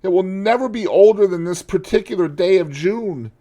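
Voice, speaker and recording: A man reading aloud and voicing an anguished, vain young man, impassioned and pleading. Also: expressive. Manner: impassioned, pleading, high and drawn-out.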